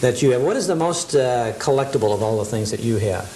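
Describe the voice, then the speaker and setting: A man presenting and interviewing as a TV host in a casual, chatty, halting way. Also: mid-range voice, animated, darkish tone, low-quality recording. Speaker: host of a nostalgia TV show. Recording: noticeable background noise.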